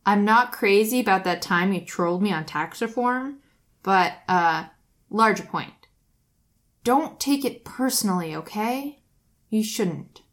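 A woman voicing a stiff, earnest young man who speaks bluntly and haltingly. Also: medium pitch, animated, voice pushed up, close to an everyday voice.

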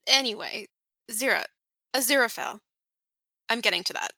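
A woman's voice: on the high side, bright, forceful tone, no room sound, voice pushed up. A woman reading an audiobook, voicing a nervous, fond male demon who halts and corrects himself as he talks. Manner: halting, fumbling, self-correcting. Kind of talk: fan audiobook character voice.

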